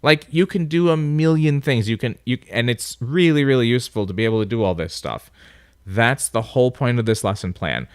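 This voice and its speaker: A man's voice: medium pitch, expressive, brisk, smooth, no echo, studio quality. A man with a nasal voice casually talking through a coding tutorial, thinking aloud as he types commands. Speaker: instructor. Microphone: voice-over on a screen recording.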